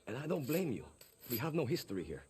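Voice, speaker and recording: An actor playing a calm, steady young guerrilla recruit who speaks evenly with a dry edge. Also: male voice, mid-range voice, fast, noisy background, natural voice. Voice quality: calm and even, lightly wry. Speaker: guerrilla fighter.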